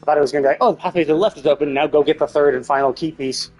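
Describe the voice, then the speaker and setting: A man's voice: fairly high pitch, racing, a little mellow. Rambling male streamer thinking aloud. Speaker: live game streamer. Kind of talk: casual live gameplay commentary. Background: game music in background.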